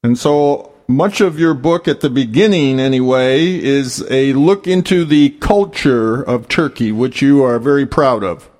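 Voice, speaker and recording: A man hosting a radio interview, asking measured, leading questions. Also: mid-range voice, darkish tone. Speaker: interview host.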